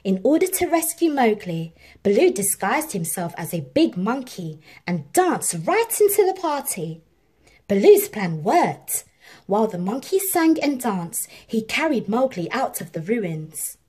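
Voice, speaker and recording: Female storybook narrator for young children, lively pitch movement, unhurried, slightly husky. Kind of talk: scripted children's read-aloud narration.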